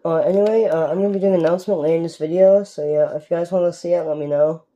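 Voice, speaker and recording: A young man from Canada giving rambling, casual gameplay commentary with a sore, strained throat, recorded on a phone filming a TV in a dark room at home. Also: on the high side, steady tone, rapid-fire. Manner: rambling, keeps asking "alright?". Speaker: gaming YouTuber.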